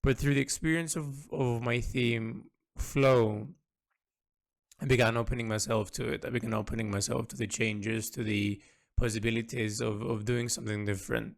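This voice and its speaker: A man with a gravelly, creaky voice at an average pitch records an unscripted audio log. He speaks earnestly and reflectively, often halting and doubling back on words as he works out his thoughts. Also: steady tone. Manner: halting, repeats words as he thinks aloud; earnest, introspective.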